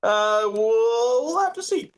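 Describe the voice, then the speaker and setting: A man's voice: very high voice, expressive, slow pace, noisy background. A man co-hosting a sports podcast, giving casual analysis and often repeating words as he thinks. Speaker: podcast co-host.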